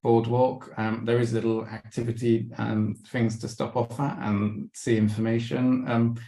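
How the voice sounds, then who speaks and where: A man's voice: mid-range voice, flat delivery, measured pace, mellow, reverberant. A man giving a slideshow travel talk on a video call, hesitant with many ums and restarts, and losing his voice. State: losing his voice, clearing throat. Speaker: webinar presenter.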